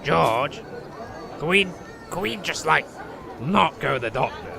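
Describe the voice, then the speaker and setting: A man's voice: fairly high pitch, lively intonation, unhurried, gravelly, crowd noise, noisy background, heavily acted. A man voicing a foul-mouthed, short-tempered, bossy adventurer in a comedic fantasy audio drama, ranting and sarcastic. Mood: irritable, aggressive. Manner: crude, ranting, sarcastic, swinging into shouts.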